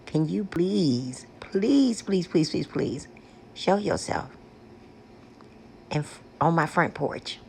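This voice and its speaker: Woman, deep coarse voice, unhurried soft-spoken vlogger calling to spirits outdoors. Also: expressive, creaky, a little hiss or hum. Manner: gentle, pleading, repetitive calling out. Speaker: paranormal vlogger. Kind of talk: slow, hushed spirit invocations mixed with casual chat to viewers. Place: outdoors on a front porch. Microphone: external microphone.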